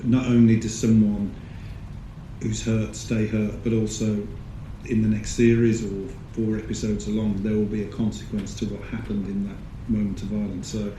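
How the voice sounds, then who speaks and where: A man on a panel giving thoughtful, measured answers about his work. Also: on the low side, little pitch movement, dark tone. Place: large screening hall. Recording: echoey hall with audience noise.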